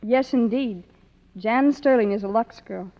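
Female 1950s radio guest, higher voice, reverberant. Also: a little slow. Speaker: actress, guest on radio show. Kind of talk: scripted 1950s radio banter and promotion. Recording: muffled old radio recording, hall reverb.

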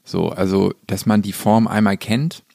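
A man with a fairly low, gravelly voice talks casually as an interview guest. He is reflective and halting, circling back as he looks for the right word. The sound is close and dry. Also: fairly even intonation, dark tone, no room sound. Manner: reflective, halting, searching for words. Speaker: guest musician. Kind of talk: casual conversational interview talk.

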